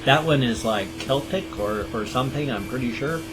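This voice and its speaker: A man's voice: mid-range voice, moderate pace, poor audio. A middle-aged man hosting his own channel, chatting casually to camera in a rambling, self-deprecating way. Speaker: channel host. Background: guitar music.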